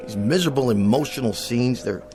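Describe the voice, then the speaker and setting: An actor playing a vain, demanding aging TV cowboy star who whines and bosses the crew about. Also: male voice, medium pitch, a little fast, over music, lightly acted. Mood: whiny. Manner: pompous, demanding, whiny. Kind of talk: radio drama acting.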